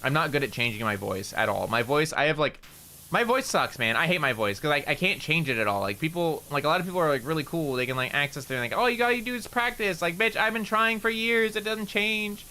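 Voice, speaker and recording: Young canadian guy streaming, nasal and kinda bright, slight rural accent, rambly and sweary. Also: a little high, lively intonation, a little fast. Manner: rambling, swears a lot, self-mocking. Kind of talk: casual gaming stream chat.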